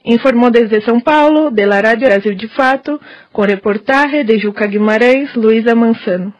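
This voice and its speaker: A woman correspondent reading a formal radio news report in a steady manner. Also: fairly high pitch, moderate pace, no room sound. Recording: dry, dull-toned studio recording.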